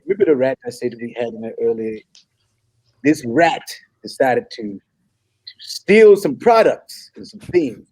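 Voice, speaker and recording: A man in a comedy table read playing an apologetic, flustered suitor who stammers and fibs, very polite and halting. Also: mid-range voice, expressive, darkish tone, no room sound, poor audio. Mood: nervous fibbing. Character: apologetic, flustered man, nervously fibbing to impress his date.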